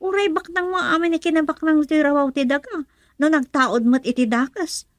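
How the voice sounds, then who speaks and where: An excitable, mocking Filipino woman, played by an actress in a radio drama. Her voice sits very high, and the recording is dry. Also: moderate pace, dry recording, lightly acted. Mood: agitated.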